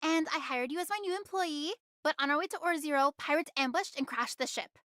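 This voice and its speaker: A woman's voice: sits high, fairly quick, fairly bright tone, no echo, clean studio sound. A woman voicing a bossy, sly young woman in an anime game, commanding and teasing in manner. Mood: serious.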